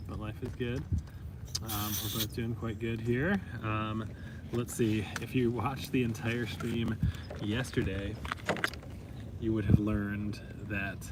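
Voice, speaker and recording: Low-voiced guy rambling on a hike. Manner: rambling, many ums and likes. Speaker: vlogger, trail hiker. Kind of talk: casual vlog chat. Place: outdoors on a hiking trip. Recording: distant mic, loud outdoor noise.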